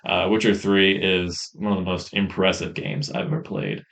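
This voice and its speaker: A man co-hosting a podcast, reviewing games in a casual, enthusiastic ramble on a rough-sounding mic. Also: low-pitched, little pitch movement, normal speed, fairly bright tone. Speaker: podcast co-host. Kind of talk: casual chat, game review.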